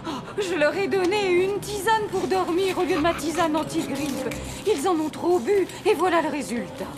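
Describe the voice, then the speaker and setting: A woman's voice: very high-pitched, lively intonation, moderate pace, loud music behind the voice. A woman playing a protective, motherly and firm lady in a children's cartoon, with an emphatic delivery. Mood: tearful guilt.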